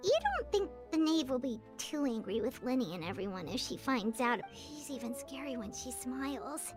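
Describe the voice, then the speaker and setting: A woman voicing a tiny, fretful fairy companion in a video game, squeaky and childlike. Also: sing-song, brisk, over music. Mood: fretful, chatty. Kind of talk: video game dialogue acting.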